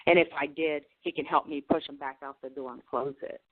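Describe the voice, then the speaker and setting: A woman's voice: low voice, steady tone, a little fast. A woman hosting a talk show in a casual, earnest, advising conversational style. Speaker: show host. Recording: narrow phone-like radio sound.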